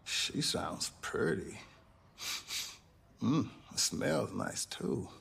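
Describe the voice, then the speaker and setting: An actor with an American accent playing a gruff but fond middle-aged garage owner in a video game, wry and laid-back. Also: male voice, lowish voice, sing-song, extremely slow, fairly bright tone, heavily acted. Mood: wry, relaxed. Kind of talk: naturalistic video game dialogue.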